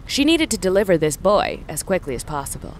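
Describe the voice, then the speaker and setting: A woman's voice: on the low side, big pitch swings, a little fast, a little hiss or hum. A woman reading scripted story narration for an audio drama.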